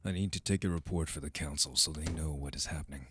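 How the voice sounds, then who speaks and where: A man's voice: very deep voice, fast talker, slight background noise. A man playing a haughty, duty-bound, coldly disdainful figure in a fantasy audio roleplay, speaking in a formal, condescending way.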